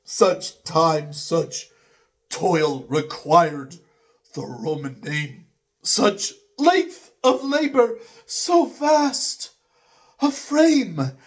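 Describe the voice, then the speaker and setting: Male reader for classical epic verse, theatrical and declamatory, extremely slow with long pauses and highly animated intonation. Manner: declamatory, theatrical, with drawn-out pauses between phrases. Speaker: poetry reader.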